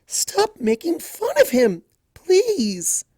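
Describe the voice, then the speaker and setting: Shrill, upset young pony acted by one reader. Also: female voice, wildly swooping pitch, very slow, dry recording, clean studio sound, exaggerated voice. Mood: angry pleading. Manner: shrill, pleading, emphatic shouting. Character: shy young female pegasus pony, upset and fiercely protective.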